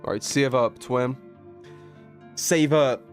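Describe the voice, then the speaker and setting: A man's voice: mid-range voice, slow, soft background music. A man live streaming a video game with casual, slangy commentary full of swearing.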